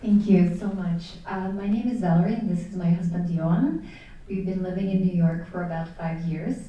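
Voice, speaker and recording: A woman's voice: on the low side, normal speed, mellow, muffled recording. A young woman from Belgium, a native Dutch speaker, asking a question conversationally from the audience. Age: young adult. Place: indoor public event. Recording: echoey room, distant handheld microphone.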